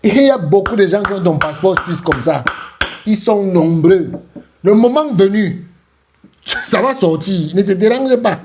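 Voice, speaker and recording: Man, taunting, repeats himself, slow delivery, very lively pitch. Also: noisy background. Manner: sarcastic, taunting, repeats phrases, laughs scornfully. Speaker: commentator reacting to an interview clip. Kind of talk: mocking reaction commentary.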